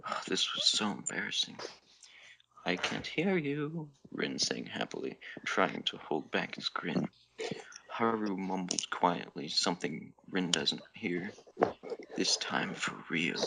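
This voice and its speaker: Man, deep gravelly voice, theatrical fanfiction narration, stumbles on words, faint background noise. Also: unhurried. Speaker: podcast member reading fan fiction. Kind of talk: comic dramatic reading, narration.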